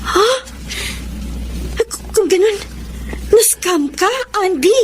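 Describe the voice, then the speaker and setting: A caring Filipino friend in a radio drama. She has a high voice and an expressive, encouraging delivery. Faint noise sits behind her. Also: takes it slowly. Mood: surprised concern. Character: caring, encouraging female newspaper colleague and friend.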